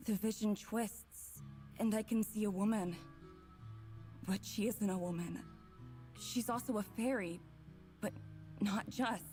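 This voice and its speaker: Woman acting anguished young woman narrating a visual novel, mid-pitched, dramatic, soft music under it. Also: fairly even intonation, unhurried, long pauses, lightly acted. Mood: longing, grieving.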